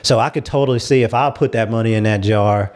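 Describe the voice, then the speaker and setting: A man's voice: on the low side, fast talker, darkish tone. A man leading a casual panel discussion, making his points emphatically and often repeating himself to stress them.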